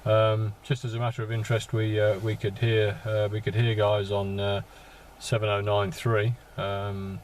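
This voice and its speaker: A man chatting as an amateur radio operator in a halting way, repeating words as he goes and using call signs. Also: fairly low pitch, completely flat delivery, a little hiss or hum. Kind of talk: casual radio contact chat with call signs. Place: inside a parked vehicle.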